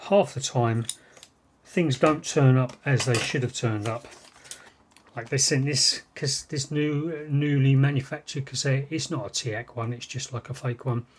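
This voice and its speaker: A man's voice: medium pitch, unhurried, a little hiss or hum. A British man chatting casually and unscripted as he shows items on his vlog, rambling and musing aloud. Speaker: vlogger showing vintage cassette gear. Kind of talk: casual unscripted unboxing chat.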